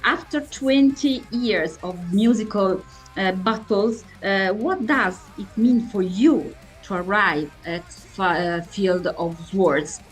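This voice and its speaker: A woman's voice: medium pitch, soft background music, poor audio. A woman asking prepared interview questions in a hesitant, stumbling way. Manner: hesitant, stumbling delivery with searching pauses.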